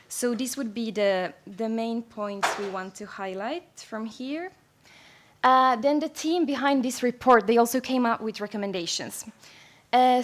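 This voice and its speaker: A young woman from Finland presenting survey findings at a conference, measured and with frequent fillers. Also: on the high side.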